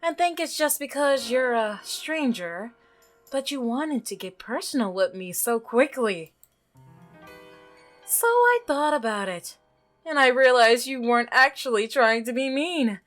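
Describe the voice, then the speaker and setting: Woman voicing an unsettling, soft-spoken obsessive milkman. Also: sits high, animated, a little slow, soft background music. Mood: creepy, coaxing.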